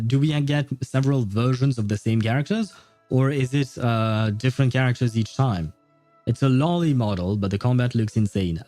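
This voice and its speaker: Mellow, rambling man streaming. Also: mid-range voice. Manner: halting, rambling, amused. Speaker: livestreamer. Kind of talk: casual live chat while gaming.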